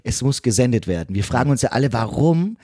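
A man's voice: mid-range voice, big pitch swings, fast, mellow, husky, no echo, studio quality. A man with a German accent speaking casually as a podcast guest and voice actor, explaining his work with emphatic repetitions. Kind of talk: casual conversational explanation of the craft.

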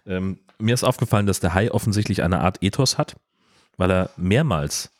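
Deep-voiced man with dry irony. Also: moderate pace. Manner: dry, ironic commentary. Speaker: podcast participant. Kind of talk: casual film discussion.

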